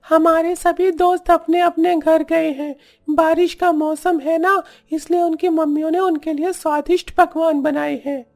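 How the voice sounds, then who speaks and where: An innocent cartoon youngster with a very high-pitched voice. The child sounds simple and young, a little one from a poor household. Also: female voice, little pitch movement, measured pace, darkish tone. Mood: downcast. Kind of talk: cartoon story dialogue.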